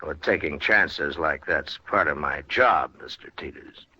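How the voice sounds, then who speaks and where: A steady old west marshal, low and sharp, understated, old radio drama sound. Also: male voice, breathy edge, exaggerated voice. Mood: calm defiance. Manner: dry, understated, unhurried. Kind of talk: 1950s radio western acting with first-person narration. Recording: muffled, noisy old-time radio recording.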